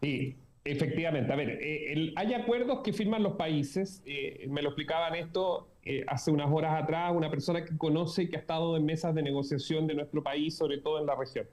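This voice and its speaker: A man's voice: fairly high pitch, fairly quick, few pauses, darkish tone. Emphatic Chilean journalist, man. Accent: Chilean Spanish. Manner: earnest, emphatic, repeats key words. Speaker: journalist guest. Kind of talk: political interview.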